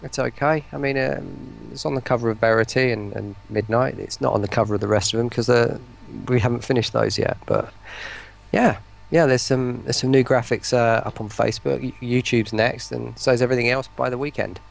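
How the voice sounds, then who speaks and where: A man speaking as a guest in a casual livestream interview, with a halting, wry way of talking. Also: mid-range voice, steady tone, gravelly. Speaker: guest voice pack producer.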